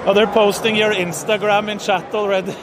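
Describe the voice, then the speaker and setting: A man's voice: sits high, flat delivery, a little fast, background noise. A man in his thirties with a Norwegian accent, chatting and joking as he livestreams from a fitness expo. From Norway. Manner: chatty, joking, asks questions. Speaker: streamer. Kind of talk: casual livestream chat. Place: busy indoor fitness expo hall. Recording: loud crowded trade-fair hall. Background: loud crowd chatter.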